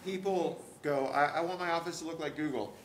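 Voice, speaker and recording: A man co-hosting a live furniture store video, quick with jokes and deadpan asides. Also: fairly high pitch, slight background noise. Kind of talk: joking live sales banter. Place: large furniture showroom indoors. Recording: echoey showroom with ambience.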